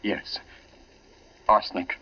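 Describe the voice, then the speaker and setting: A man's voice: slow, close to an everyday voice. An actor playing an anxious, secretive married man, urgent and pleading, in old radio drama style. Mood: secretive, resolved. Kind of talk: 1940s radio acting. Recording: muffled vintage radio recording with music.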